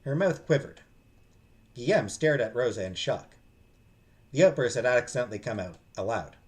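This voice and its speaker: Guy streamer reading a visual novel, dramatic narration, lots of pitch movement, music behind. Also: expressive, normal speed.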